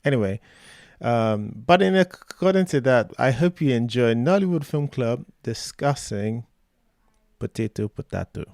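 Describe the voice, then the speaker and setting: A man hosting a film podcast, croaky while getting over an illness, talking casually with lots of ums. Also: medium pitch, unhurried, a little hiss or hum. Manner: relaxed, rambling with many fillers. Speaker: podcast host.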